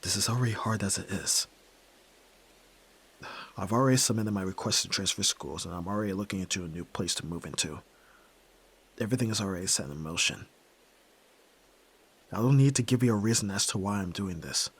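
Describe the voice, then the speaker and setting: A man's voice: sits low, a little fast, long pauses, a bit bright, husky, full character voice. A young man playing a brusque, tormented nerd who is a reluctant yakuza heir, in a dramatic audio roleplay, speaking huskily and curtly. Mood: cold, resigned. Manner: brooding, curt, pushing the listener away.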